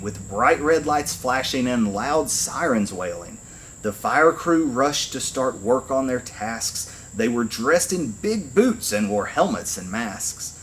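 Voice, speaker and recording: Animated male storyteller, unhurried delivery. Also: mid-range voice, a little hiss or hum. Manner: animated storytelling, deliberate phrasing. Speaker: firefighter reading to children. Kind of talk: expressive rhyming picture-book read-aloud.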